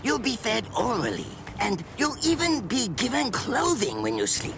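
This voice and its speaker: Wildly swooping, sadistic mad scientist. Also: male voice, very high-pitched, wildly swooping pitch, normal speed, vocal fry, heavily acted. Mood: creepy coaxing. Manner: theatrical, mock-polite, condescending. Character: gleefully sadistic mad-scientist male captain, polite and condescending. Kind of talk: anime video game voice acting. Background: music.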